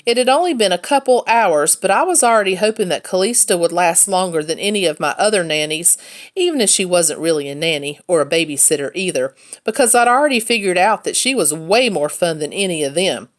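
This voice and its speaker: A woman's voice: on the low side, animated, brisk. A woman reading a children's novel aloud as a first-person storyteller. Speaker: read-aloud narrator, teacher.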